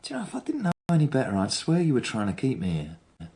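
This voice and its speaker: With very lively pitch movement, a male suspect talks in a middle register. He is polite yet guarded and insistent, with impatience under it, in naturalistic radio drama style. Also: fast. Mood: impatient.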